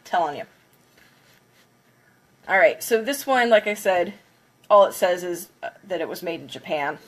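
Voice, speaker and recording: A woman's voice: lowish voice, lively intonation, normal speed. Congested woman chatting on a livestream. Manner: chatty, upbeat, counts down before each smash. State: congested from a cold. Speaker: craft livestreamer. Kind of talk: casual livestream chat.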